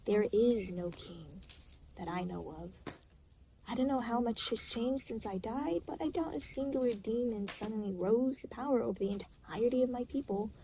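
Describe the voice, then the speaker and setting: Gravelly, aloof demon played by a woman. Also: on the high side, normal speed, warm tone, slight background noise, natural voice, poor audio. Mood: weary, sardonic. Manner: dry, sarcastic, weary, sighing. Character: aloof, exasperated demon, reluctantly babysitting a new soul. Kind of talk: audio roleplay addressed to the listener.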